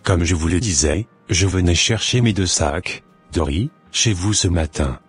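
A man's voice: deep voice, a little slow, faint music behind. A man voicing a courteous, friendly shop customer in a cartoon, speaking politely.

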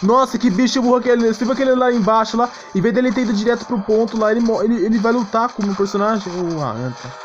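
A man's voice: sits high, quick pace, few pauses, lo-fi sound. A man with a Brazilian Portuguese accent giving casual live game commentary in a slangy, mildly grumbling manner. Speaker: gaming streamer. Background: game music.

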